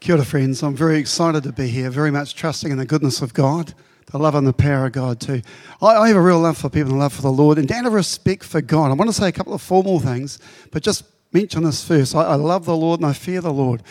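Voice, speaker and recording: Brief: incoming pastor from Papua New Guinea, raised speaking Tok Pisin, fervent and heartfelt, slightly high voice, dry sound. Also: male voice, fast talker, a little mellow, no room sound. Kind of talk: address to congregation. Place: church.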